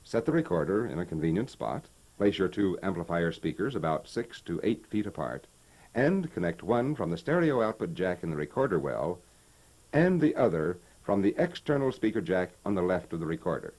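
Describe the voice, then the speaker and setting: An actor playing a patient, knowledgeable American hi-fi expert in a scripted, folksy instructional conversation from 1960. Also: male voice, low-pitched, big pitch swings, normal speed, a little mellow, low-quality recording. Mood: instructive. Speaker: presenter explaining stereo equipment. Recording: vintage 1960 promotional tape recording.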